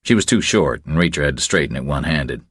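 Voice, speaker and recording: A man's voice: very deep voice, expressive, fast, fairly bright tone, breathy edge, no room sound, clean studio sound. A man reading a thriller audiobook as a scripted narration.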